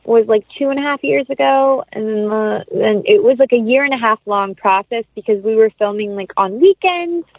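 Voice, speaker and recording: A woman's voice: on the high side, moderate pace, few pauses, dry recording, narrow, tinny audio. A woman chatting casually and informally as an actress being interviewed, rambling as she explains. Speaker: interview guest, film actress.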